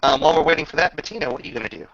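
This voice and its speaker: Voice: mid-range voice, animated, racing, fairly bright tone. A game master running a casual tabletop roleplaying session in an informal, swearing way. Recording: muffled lo-fi with faint noise.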